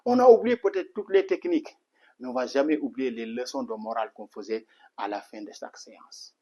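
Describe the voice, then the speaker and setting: A middle-aged man from Senegal speaks earnestly at a moderate pitch, his sentences circling back through restarts and repeated fillers. He talks as a martial arts instructor giving an interview. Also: fairly quick, warm tone, breathy edge, muffled recording. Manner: earnest, repetitive, many restarts and "voilà" fillers. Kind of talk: spoken interview answer.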